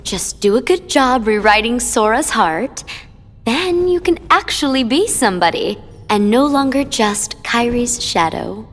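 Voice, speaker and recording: A woman voicing a sadistic, sweetly cruel young villainess in a video game, taunting with drawn-out mock sympathy. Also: on the high side, lively intonation. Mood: scolding, coaxing. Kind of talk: video game cutscene acting.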